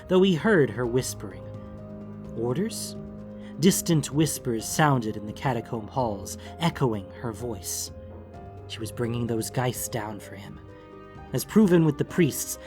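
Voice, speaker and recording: A man giving measured, theatrical narration of a fantasy audiobook. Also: medium pitch.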